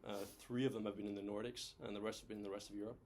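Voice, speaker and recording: A man's voice: fairly low pitch, level tone, rapid-fire, darkish tone, slight background noise. A man in his thirties from Denmark, giving an informal, self-deprecating presentation as an executive and guest speaker, with hesitant phrasing. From Denmark, raised across several countries. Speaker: venture capital COO, guest speaker.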